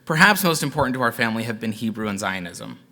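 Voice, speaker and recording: A young man giving an earnest, heartfelt prepared speech at a gala, thanking his parents in a measured way. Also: mid-range voice, big pitch swings, sharp tone. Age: young adult. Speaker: alumnus and son giving a gala tribute.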